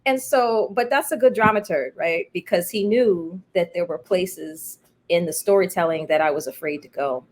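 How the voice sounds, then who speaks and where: A woman playwright speaking as an interview guest, telling her story in a candid, thoughtful, halting way. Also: lowish voice. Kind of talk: reflective conversational storytelling.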